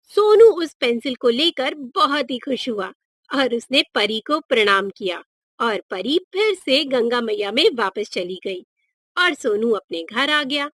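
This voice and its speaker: A woman's voice: fairly high pitch, lively intonation, unhurried, fairly bright tone, no room sound, studio quality. A woman narrating a scripted moral story for children.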